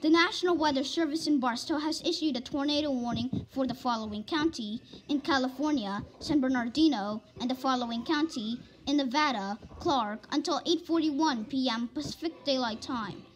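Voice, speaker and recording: A woman's voice: high voice, moderate pace, few pauses, poor audio. A woman announcer reading a scripted emergency weather warning in a formal bulletin manner.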